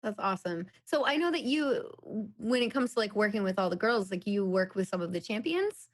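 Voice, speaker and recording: A woman's voice: medium pitch, animated, brisk, poor audio. A woman conducting a casual interview in an upbeat, enthusiastic, conversational way.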